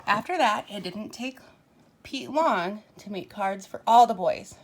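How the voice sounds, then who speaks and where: A woman's voice: mid-range voice, lively intonation, unhurried, slight background noise. A woman reading a picture book aloud to young children like a teacher, expressively, with simple asides and questions to the listeners.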